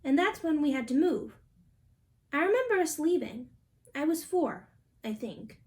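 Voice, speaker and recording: A woman's voice: high voice, big pitch swings, measured pace, a little mellow. A young adult woman reading a picture book aloud as a storybook reader. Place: small indoor room at home.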